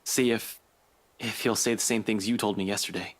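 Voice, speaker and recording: An actor playing a shaken young detective in a visual novel, halting yet determined and brushing off concern. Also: male voice, on the low side, steady tone, close to an everyday voice. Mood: rattled, evasive. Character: rattled young male detective, guarded but determined.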